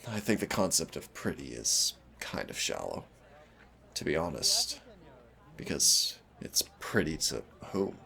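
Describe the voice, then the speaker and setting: Male actor as shy young man, very deep voice, vocal fry, hesitant, self-deprecating, gentle, ASMR-style roleplay. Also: exaggerated voice.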